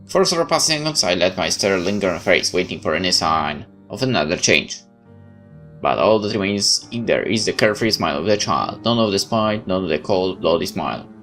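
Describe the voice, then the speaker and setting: A man with a very deep voice and animated pitch reads a game's story aloud theatrically. He mixes in casual let's-play remarks, with faint music behind him. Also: a little fast. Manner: expressive, theatrical. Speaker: let's player. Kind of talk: dramatic read-aloud with casual asides.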